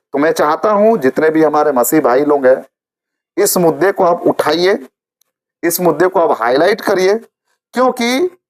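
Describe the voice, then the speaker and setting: A man presenting informal news commentary to viewers, repeating phrases for emphasis. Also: a little high.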